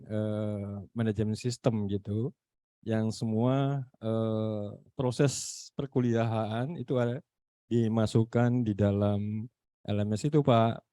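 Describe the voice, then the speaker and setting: Makassar-accented male lecturer, very mellow and dark tone, halting speech full of fillers, dry video-call audio. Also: on the low side, no room sound. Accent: Makassar Indonesian. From Makassar, South Sulawesi. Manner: halting, many fillers and searching for words. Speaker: university lecturer. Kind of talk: online meeting remarks.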